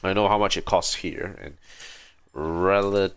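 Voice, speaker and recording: A man from Malaysia giving low-key, muttered, casual commentary as he hosts a video game livestream. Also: steady tone, normal speed. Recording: clearly noisy mic with clicks.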